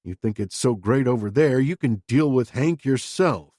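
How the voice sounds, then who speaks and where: A man's voice: medium pitch, lively intonation, normal speed, warm tone, dry recording, clean studio sound, close to an everyday voice. A man voicing a crude, defensive middle-aged father who talks in a blunt, profane, self-justifying way. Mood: bitter, angry.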